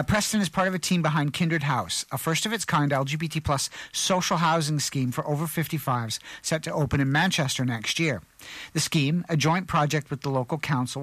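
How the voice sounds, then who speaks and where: A man's voice: a little high, no room sound. Man reading news on community radio. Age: middle-aged. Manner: steady reading with small slips and restarts. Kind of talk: reading a news story on air with comments. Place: radio studio.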